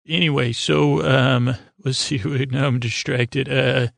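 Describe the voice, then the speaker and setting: A man hosting a bedtime podcast in a rambling monologue, stammering and derailing himself, with a gravelly, creaky voice. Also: medium pitch, steady tone, unhurried, dry recording. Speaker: podcast host.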